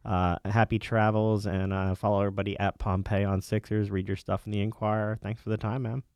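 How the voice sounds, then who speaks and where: Smooth-voiced man hosting sports talk. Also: on the low side, flat delivery, brisk, darkish tone, no echo, studio quality. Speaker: sports radio host.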